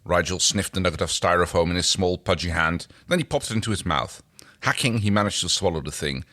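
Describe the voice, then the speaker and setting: A man's voice: low-pitched, fairly quick, pushed and bright. A man co-hosting a podcast, reading fan fiction as narration with casual remarks in between. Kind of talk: dramatized story reading with casual asides.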